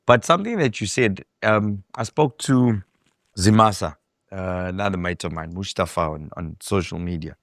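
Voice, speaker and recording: A man's voice: deep voice, normal speed, a bit bright, dry recording, studio quality. A South African man hosting a casual interview, chatty with lots of fillers and some swearing. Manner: conversational, frequent fillers, swears. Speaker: host.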